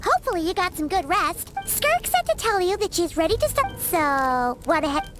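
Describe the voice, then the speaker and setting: Casting: perky little game sidekick, extremely high and bouncy, exclamatory, music behind. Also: female voice, very animated, normal speed. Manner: chirpy, exclamatory. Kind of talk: animated video game character acting. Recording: loud music under the voice.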